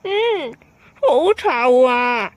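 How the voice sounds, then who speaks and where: An actress playing a squeamish, grumbling helper in a radio drama, whining and drawing out words with a Hong Kong accent. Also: female voice, high voice, big pitch swings, extremely slow, no room sound, heavily acted. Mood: disgusted, grumbling. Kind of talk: Cantonese radio drama acting.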